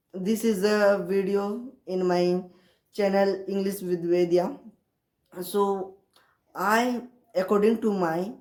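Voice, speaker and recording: A woman with an Indian accent and Hindi as her native language, talking casually and haltingly in learner's English, repeating herself to encourage viewers. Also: mid-range voice, dark tone. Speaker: English-learning video creator. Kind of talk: casual motivational talk to camera. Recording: roomy, echoey sound.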